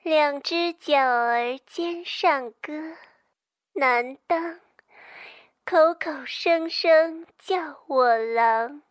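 Period audio drama: actress as seductive courtesan performing verse, high pitch, lingering delivery. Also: female voice, very slow, no echo, clean studio sound. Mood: coquettish, languorous.

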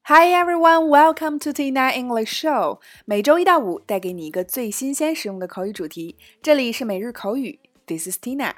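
A woman, a native Mandarin speaker, hosting a language lesson in scripted narration with a bright, upbeat way of explaining. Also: sits high, lively intonation, fairly quick, hardly any pauses. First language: Mandarin Chinese. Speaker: host and language teacher.

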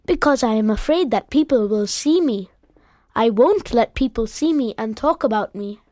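A woman's voice: on the high side, lively intonation, moderate pace, a little mellow, no room sound, clean studio sound, pitched above the performer's own voice, natural voice. A woman voicing a sickly, lonely, demanding ten-year-old boy in a storybook reading, with a self-pitying manner. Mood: guarded, fretful.

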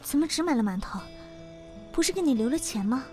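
A woman playing a soft-spoken, tender young woman in a radio drama, gentle and polite. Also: high-pitched, expressive, fairly quick. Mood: tender, motherly. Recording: music behind the voice.

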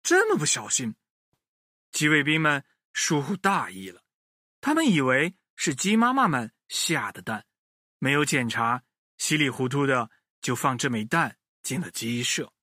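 A man telling a children's story in an expressive, animated way, speaking directly to young listeners. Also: medium pitch, measured pace, no room sound, clean studio sound.

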